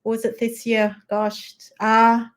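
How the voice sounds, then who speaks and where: A woman's voice: on the high side, completely flat delivery, takes it slowly. A middle-aged woman from Singapore, a guest in a casual chat, talking hesitantly with many fillers and restarts.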